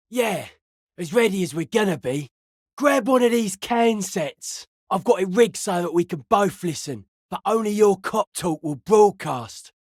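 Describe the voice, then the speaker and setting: Slow-drawling young club kid. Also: male voice, high voice, dry recording, studio quality, exaggerated voice. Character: young counterculture club kid, spooked, earnest, dance-music obsessed. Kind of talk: video game voice acting.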